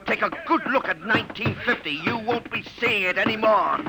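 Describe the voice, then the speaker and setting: An actor playing a smooth-talking, coaxing pursuer from the future who poses as a filmmaker, in 1950s radio drama style. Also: male voice, high-pitched, a little fast, over loud music. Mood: urgent, commanding. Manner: glib, coaxing, commanding. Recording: noisy old 1950s radio recording.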